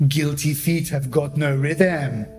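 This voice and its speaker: A man's voice: a little high, takes it slowly, darkish tone, slight background noise. A man playing an indignant, pompous marquis in a radio play, declaiming formally. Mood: accusing. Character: indignant pompous marquis making grand accusations. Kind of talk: theatrical radio play acting.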